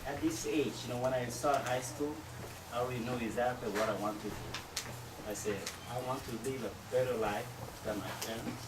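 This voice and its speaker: A woman's voice: a bass voice, fairly even intonation, fairly quick. A woman speaking earnestly and informally to younger relatives, giving personal advice with somewhat hesitant phrasing. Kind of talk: earnest informal family talk. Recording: echoey, distant mic, very loud background noise.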